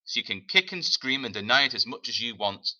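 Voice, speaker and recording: A man reading a storybook, voicing a hard-faced older woman with a gruff, firm manner. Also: medium pitch, expressive, fairly quick, sharp tone. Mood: stern. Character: sharp-tongued older woman, hard and gravelly.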